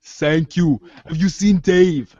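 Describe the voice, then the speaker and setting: A man acts as a sardonic, articulate teenage girl. The voice is a little high for a man, deadpan and formal, in a comedic readers' theater with a close, slightly dull sound. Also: takes it slowly, no room sound.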